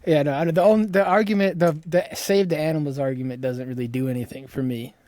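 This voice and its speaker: A man's voice: on the high side, steady tone, fast talker, mellow, dry recording. A man in his thirties chatting casually as a podcast co-host, blunt and free with swearing. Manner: blunt, profane. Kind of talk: casual chat.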